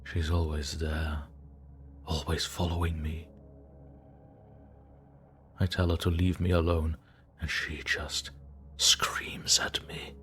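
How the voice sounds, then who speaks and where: A haunted, guilt-ridden man writes his journal in a horror story, deep and low, drifting between hushed whispers and frantic, pleading shouts, as a man puts him on. He sounds exhausted and terrified. Soft music hums faintly behind him. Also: little pitch movement, takes it slowly, pauses often.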